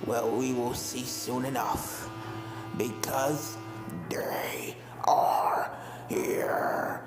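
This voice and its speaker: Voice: medium pitch, sing-song, very slow, in a whisper, voice pushed down, heavily acted. A menacing ghost pony speaks from a haunted manor portrait, acted out by a fan fiction reader. The delivery is ominous and taunting, with a heavy echo and music playing underneath. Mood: ominous gloating. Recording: echoey voice with music behind.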